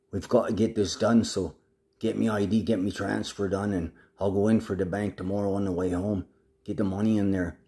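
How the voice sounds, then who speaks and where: A man's voice: lowish voice, darkish tone. A man talking casually to the camera in a rambling, agitated monologue full of swearing.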